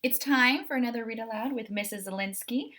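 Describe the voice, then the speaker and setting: Higher-voiced woman reading a children's story. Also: fairly quick. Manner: expressive, addresses young listeners directly. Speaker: read-aloud host.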